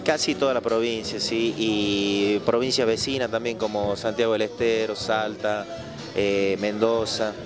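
A man's voice: on the low side, level tone, measured pace. A young Argentine man from Tucumán, interviewed as a folk singer into a handheld mic in a busy bar, talking modestly with some hesitation. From Tucumán province, Argentina. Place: busy bar with live music. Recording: loud live music behind.

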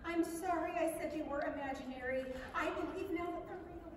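A woman's voice: high voice, fairly quick, close to an everyday voice. A woman playing a bold, stubborn little girl in a staged reading. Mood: defiant. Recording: echoey theatre hall, distant, faint noise.

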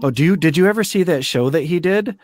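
A man in his thirties hosting a casual online author chat, joking and animated. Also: a little high, fast, no room sound, studio quality. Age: 30s. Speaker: podcast host. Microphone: studio mic on arm.

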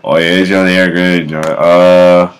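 A man in his thirties with a low bass voice, casually reacting to memes at home, laughing often and breaking into off-key singing. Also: level tone, unhurried, a little hiss or hum. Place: home kitchen, indoors. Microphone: webcam at close range.

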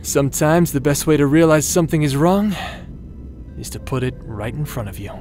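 A man acting as a taunting, manipulative villain in a dramatic audio roleplay, with a drawn-out, mocking delivery. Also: on the high side, animated, darkish tone, faint music behind, close to an everyday voice. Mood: taunting, cold. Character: taunting male villain, manipulative, mocking, coldly friendly tempter.

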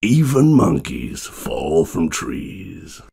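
Guy doing a deep gravelly proverb bot, smug, music in back. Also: huge pitch swings, very slow, dark tone, heavily acted.

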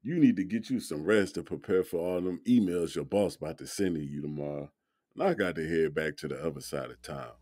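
A man's voice: low voice, fairly quick, mellow. An actor playing a protective, street-smart young man in an audio drama, speaking in slangy, assertive dialogue. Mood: tender, protective.

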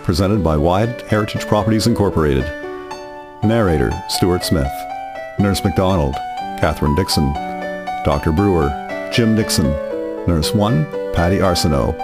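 A man reading scripted narration for a historical radio drama in a formal, measured manner. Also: deep voice, expressive. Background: guitar music.

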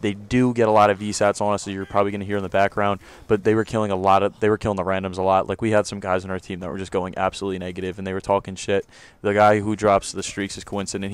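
A young man with a deep voice giving loose, rambling commentary over his own gameplay, recorded on a close home mic. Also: smooth, dry recording. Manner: rambling, self-correcting, loose slang. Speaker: gaming commentator.

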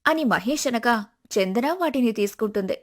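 A woman's voice: a little high, expressive, moderate pace. A woman storyteller reading scripted narration for a family story.

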